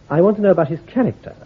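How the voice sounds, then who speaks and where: A shrewd, brisk army intelligence commander in an old radio play, laying out orders in a clipped, authoritative manner. His voice is slightly husky with lively pitch movement. The recording sounds thin and tinny, like a phone line. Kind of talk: radio drama acting.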